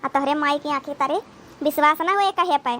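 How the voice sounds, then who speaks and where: A woman's voice: sits high, brisk, fairly bright tone, slight background noise. A woman playing a scolding but protective village sister-in-law in an Awadhi comedy cartoon, exclamatory and rustic. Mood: scolding, exasperated. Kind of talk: dramatic comedy cartoon dialogue.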